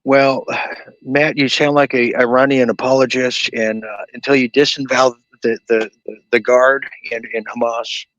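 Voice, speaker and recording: A man's voice: mid-range voice, steady tone, a little slow, fairly bright tone, dry recording, muffled recording. A man hosting a late-night talk show, chatting casually with a guest, stumbling and restarting often as he reads viewer comments. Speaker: host.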